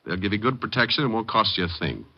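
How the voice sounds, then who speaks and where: Low-voiced wisecracking radio private eye. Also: male voice, very animated, fast. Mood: wry, teasing. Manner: sardonic, glib, slangy patter. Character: wisecracking, breezy male hardboiled private detective. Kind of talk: 1940s-50s radio detective drama acting. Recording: muffled vintage radio recording.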